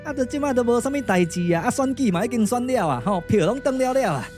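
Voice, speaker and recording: A man's voice: extremely high pitch, animated, fast, a little mellow. A cheeky little comic sidekick in a Taiwanese puppet radio play. He chatters in a streetwise, boastful way with a Taiwanese accent, peppering everything with interjections, with music behind. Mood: reassuring, cocky. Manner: chatty, colloquial, full of interjections. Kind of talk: Taiwanese puppet theatre radio drama acting.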